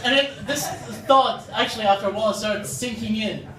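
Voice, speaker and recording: A young man from Dubai performing stand-up comedy at an open mic in an animated manner, recorded in an echoey, noisy room from far off. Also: high voice, normal speed, a crowd in the background. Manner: animated, acts out short quoted exchanges. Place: open mic comedy venue in front of an audience.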